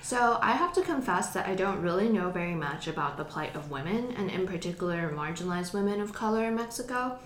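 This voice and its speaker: Measured young woman podcast host. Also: fairly low pitch, lively intonation. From Hong Kong. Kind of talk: scripted podcast narration. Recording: echoey room with faint noise.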